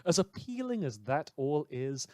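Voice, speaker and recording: A man's voice: fairly high pitch, big pitch swings, warm tone, dry recording. Man acting wry, hesitant radio host, dry close sound. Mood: wistful, conflicted. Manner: hesitant, wry, self-correcting, halting. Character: rambling, conflicted male pirate-radio host, ex-fighter, in a post-apocalyptic city. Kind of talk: scripted audio drama as late-night radio monologue.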